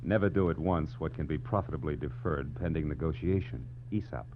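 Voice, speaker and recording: A deep-voiced tough American private eye, wry and clipped. He delivers wisecracks and cool narration in 1940s radio drama style. The old recording is narrow and muffled, with a faint hum. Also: brisk. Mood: cool, sardonic. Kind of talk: 1940s radio detective acting, first-person narration.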